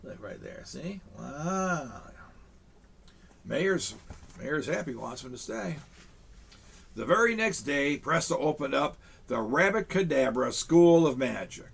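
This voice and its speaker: A man reading a children's picture book aloud, with chuckling, animated asides to young listeners. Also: a little high, slow, vocal fry, husky. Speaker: storyteller in a Santa persona.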